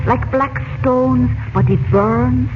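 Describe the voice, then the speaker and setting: High-voiced Egyptian serving woman. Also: fairly even intonation. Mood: naive storytelling. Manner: halting foreign phrasing, wide-eyed exclamations. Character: talkative Egyptian married woman, hotel attendant, simple and dutiful. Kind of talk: 1940s radio drama acting. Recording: dull vintage radio recording with music.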